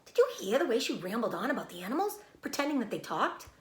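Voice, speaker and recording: A woman's voice: fairly high pitch, very animated, fast talker, voice pushed up. A concerned, proper mother from a storybook, as a woman reads her aloud. Her voice moves up and down expressively as she frets and insists, with some room echo around it. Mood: worried, insistent. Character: worried, skeptical mother of a young girl.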